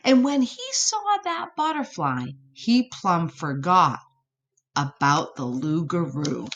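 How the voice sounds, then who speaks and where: A woman reading a storybook aloud to children in an expressive way, with chatty asides to her listeners. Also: on the low side, slow pace, highs cut off. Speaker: storybook reader.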